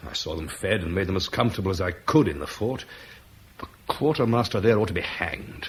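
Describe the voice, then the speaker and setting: Brief: weary middle-aged Roman officer, dry and measured, lively inflection, slight hiss on an old radio recording. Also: male voice, deep voice. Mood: restrained. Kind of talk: 1960s BBC radio drama acting.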